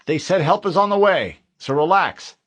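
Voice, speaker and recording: A man's voice: sits high, lively intonation, normal speed, fairly bright tone, pitched above the performer's own voice. A man reading an audiobook, voicing a tense young male marine corporal who speaks in an urgent, strained way. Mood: weary.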